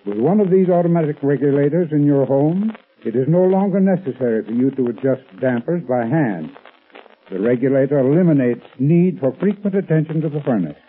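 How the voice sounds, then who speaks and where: An American man with a husky voice, delivering a scripted 1930s radio advertisement as a company expert. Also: a little high, lively intonation, brisk. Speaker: company heating expert giving a sponsor talk. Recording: tinny 1930s radio recording.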